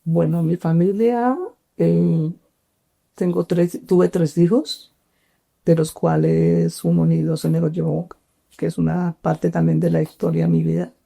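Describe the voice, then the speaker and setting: A middle-aged woman from Cali, Colombia, with a Colombian accent, telling her life story in an interview, halting with many fillers and restarts. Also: fairly low pitch, little pitch movement, gravelly, mellow. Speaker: interview guest.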